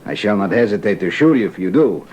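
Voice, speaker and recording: 男演员饰演狡猾凶狠的墨西哥腔匪首，语调起伏大. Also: male voice, on the low side, lively intonation, fast talker, darkish tone, natural voice. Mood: menacing, mocking. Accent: put-on Mexican. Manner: smooth-talking, mocking, chuckling. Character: sly outlaw leader posing as a menacing Mexican bandit. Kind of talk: old-time radio western acting. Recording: old radio recording with background noise.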